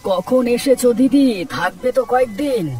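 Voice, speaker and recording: A man's voice: very high voice, normal speed, recorded from a distance, over music. A man voicing a good-natured, generous village husband and father in a cartoon. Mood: cheerful, generous. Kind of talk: Bengali cartoon dubbing.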